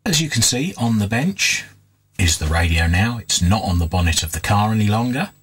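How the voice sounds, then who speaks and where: A middle-aged man with a low, rough-edged voice gives a casual demonstration. He explains things in an unhurried, hands-on way, with a husky grain to his speech. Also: animated, a bit bright. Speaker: vlogger restoring vintage radios.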